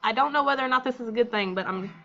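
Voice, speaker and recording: A woman's voice: a little high, racing, sharp tone, muffled recording, a little hiss or hum. A woman reading a visual novel aloud as a let's player, with informal, colloquial reactions along the way.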